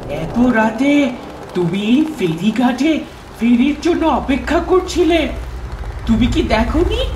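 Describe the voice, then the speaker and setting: A woman voicing a menacing ghost girl in a horror cartoon, with a deliberate, threatening delivery. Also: sits high, darkish tone. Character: menacing ghost girl haunting a night ferry. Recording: echoing voice over noisy ambience.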